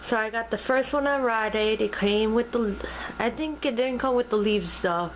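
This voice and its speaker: A woman filming a casual tour of her home and naming each item as she goes, pausing and restarting often. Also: fairly high pitch, slight background noise. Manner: halting, with frequent ums and restarts. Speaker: vlogger giving a home decor tour. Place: inside a home, walking room to room. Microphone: handheld camera held by the speaker.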